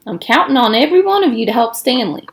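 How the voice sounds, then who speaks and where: A woman's voice: on the high side, sing-song, fast talker, pitched above the performer's own voice. Woman as a preachy male camp counselor, emphatic. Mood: earnest, encouraging. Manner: earnest, patronizing, emphatic.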